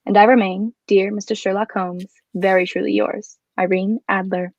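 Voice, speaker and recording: A woman's voice: mid-range voice, flat delivery, normal speed, a little mellow, dry recording, muffled recording, natural voice. A woman acting a clever, bold, flirtatious adventuress in a radio drama, with a poised, formal and coy way of speaking. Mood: poised, triumphant. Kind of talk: adaptation of a 1940s radio drama.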